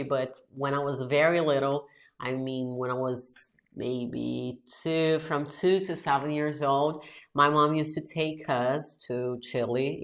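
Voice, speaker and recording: A woman's voice: very deep voice, fairly bright tone. A woman in her forties with a Brazilian Portuguese accent, hosting her own podcast in a chatty way, switching between Portuguese and English. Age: 40s. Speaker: podcast host and English teacher. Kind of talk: casual solo podcast talk.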